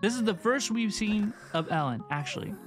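A woman's voice: mid-range voice, animated, normal speed. A young woman giving casual, slangy reaction commentary with enthusiasm, repeating words for emphasis into a close microphone. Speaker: reaction video host. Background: video game trailer music.

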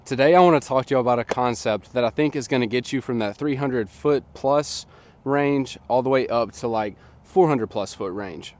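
A young man in his twenties, slightly nasal from a sinus infection, explaining a sports technique in a relaxed, chatty way outdoors, recorded by a camera at a distance in an open field. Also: medium pitch, normal speed. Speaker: disc golf coach. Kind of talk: casual instructional talk. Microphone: camera on a tripod at a distance.

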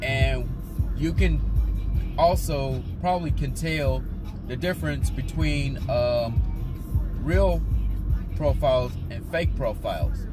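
A man vlogging from inside a moving car in a rambling, halting way, full of "um" and "uh". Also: mid-range voice. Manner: rambling, halting, heavy on fillers. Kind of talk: casual talk while driving. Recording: loud music behind the voice.

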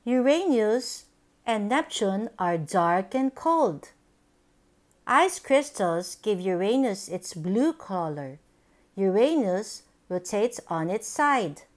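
A woman reading a picture book aloud for young children, with simple, deliberate, expressive phrasing. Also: medium pitch. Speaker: children's book reader, channel host.

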